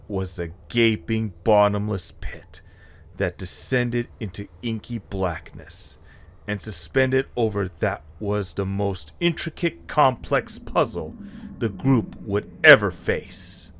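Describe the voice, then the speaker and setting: A man's voice: lowish voice, a bit bright. A man narrating a scripted adventure story in a dramatic storytelling style.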